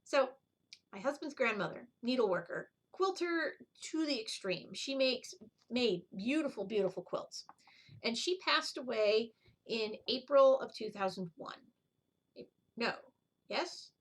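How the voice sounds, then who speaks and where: A woman's voice: a little high, expressive, a little slow, breathy edge. A middle-aged woman chatting casually as the host of a needlework video, rambling and drifting off on tangents. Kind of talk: casual chat, show-and-tell vlog.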